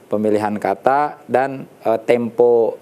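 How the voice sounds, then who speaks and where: Storyteller guest, male, mellow and dark-voiced, measured explainer in a studio chat. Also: medium pitch, steady tone, clear, resonant tone. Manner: measured, explanatory, with frequent restarts and lists. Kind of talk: studio talk show explanation. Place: television studio.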